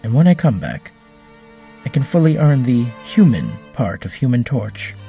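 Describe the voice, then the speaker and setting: Audio drama android hero played by a man: earnest, formal, soft dark tone, faint music beneath. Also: medium pitch, sing-song, normal speed, gravelly. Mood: earnest, tender. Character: earnest, formal, gentle male android superhero.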